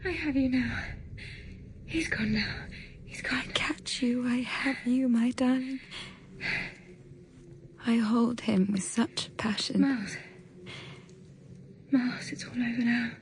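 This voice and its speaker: An intense, haunted young governess, high-voiced. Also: female voice, takes it slowly, long pauses, a little hiss or hum. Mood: hushed grief. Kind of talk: audio drama acting, dialogue and first-person narration.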